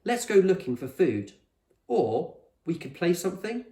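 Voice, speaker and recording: A man's voice: high-pitched, sing-song, unhurried, warm tone, distant mic, voice pushed up, full character voice. A man voicing a kind, businesslike young girl in a storybook, practical and encouraging. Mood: suggesting. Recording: echoey room.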